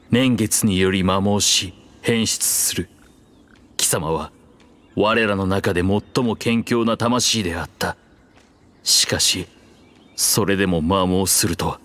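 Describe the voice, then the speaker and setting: An actor playing an ancient, proud dragon king in human form, speaking gravely and formally in a measured way for a game. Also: low-pitched, a bit bright. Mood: solemn. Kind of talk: Japanese game voice acting, archaic dramatic dialogue.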